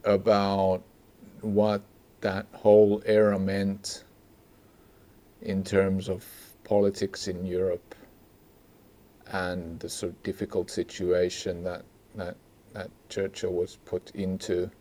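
A man discusses film casually as a podcast co-host, in a hesitant, halting way. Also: deep voice, monotone, warm tone. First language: Finnish. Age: 40s. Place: small indoor stage with curtains, seated at a table.

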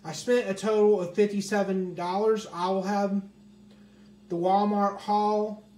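A man's voice: high-pitched, flat delivery, unhurried, dark tone. A man casually showing his grocery haul item by item, speaking in a plain, matter-of-fact way into a handheld phone camera at home. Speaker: vlogger. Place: small indoor room at home. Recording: echoey room with faint hiss.

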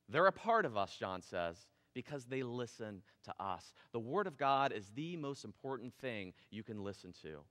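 Lively high-voiced pastor preaching in a hall. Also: male voice. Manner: casual, animated preaching with gestures. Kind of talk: sermon. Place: church stage with drum kit. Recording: long hall reverb in church.

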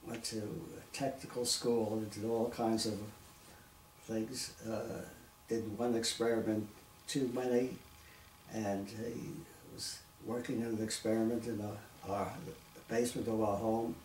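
Old brooklyn guy in his 90s talking slow w lots of pauses, far off mic in an echoey room. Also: fairly low pitch, little pitch movement, darkish tone. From Brooklyn, New York. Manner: halting, many pauses and throat clears. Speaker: oral history interviewee. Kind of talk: reminiscing interview. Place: living room, seated in armchair. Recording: roomy echo, distant mic, faint hiss.

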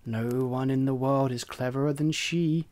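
A storyteller voicing a conceited, bossy hen who lectures in a raised, high voice. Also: fairly even intonation, normal speed, a little mellow, lightly acted. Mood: scolding. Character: bossy conceited hen, scolding and self-important. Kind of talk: storybook reading.

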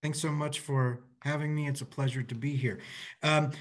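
A man in his forties giving a prepared panel presentation on a video call from an office, measured and pausing between phrases. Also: medium pitch, fairly even intonation. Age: 40s. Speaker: panelist, arts director. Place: office by a window, indoors. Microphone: video call computer microphone.

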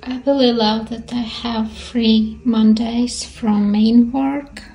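A woman's voice: on the high side, steady tone, takes it slowly, mellow, far from the mic, poor audio. A Latvian woman in her thirties with a Latvian accent, chatting cheerfully and hesitantly in a home vlog; English is not her first language. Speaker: vlogger. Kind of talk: casual vlog chat. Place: bright room at home.

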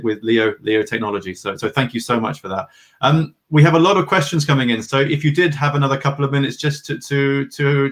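A man's voice: medium pitch, a bit bright. A man in his thirties moderating an online panel, polite and repeating words as he starts his sentences. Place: indoor room. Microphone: video call.

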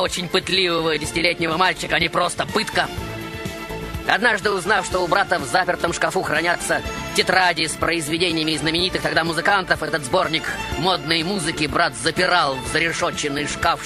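An actor playing a theatrical showman who tells a Baroque composer's life as his own, in a grandiose, ironic monologue with pointed pauses. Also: on the high side, natural voice. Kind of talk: theatrical monologue, radio show narration. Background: music.

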